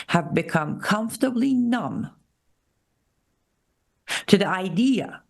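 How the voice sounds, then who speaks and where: A woman with an Iranian accent hosting a political commentary show, speaking emphatically and repeating key phrases slowly. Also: medium pitch, creaky. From Iran. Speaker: show host.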